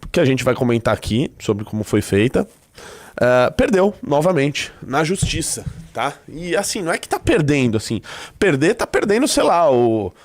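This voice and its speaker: A man's voice: on the high side, big pitch swings, moderate pace. Stuffy-nosed Brazilian man hosting a livestream. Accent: Brazilian Portuguese. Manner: loose, joking, fillers like "tá?". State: stuffy nose. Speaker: livestream host. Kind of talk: casual live commentary.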